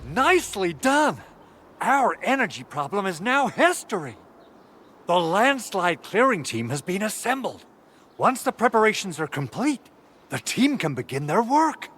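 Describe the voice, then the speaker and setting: A man's voice: sits high, sing-song, a little slow, a bit bright, a little hiss or hum, exaggerated voice. A man voicing a confident, enthusiastic planner who briefs his team in a video game. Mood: confident, explanatory. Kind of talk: scripted game dialogue, explanatory. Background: game music.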